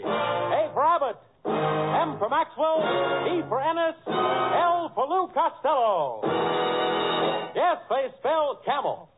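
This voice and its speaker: A man announcing for a sponsor on 1940s radio with a punchy, showy delivery. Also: very high-pitched. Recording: tinny old radio, loud music. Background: loud music.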